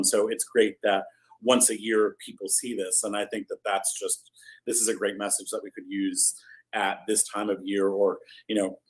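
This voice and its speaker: A man's voice: on the low side, low-quality recording. A man chatting casually as an interview guest, thinking aloud in a tentative, loosely hedged way. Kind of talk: casual chat.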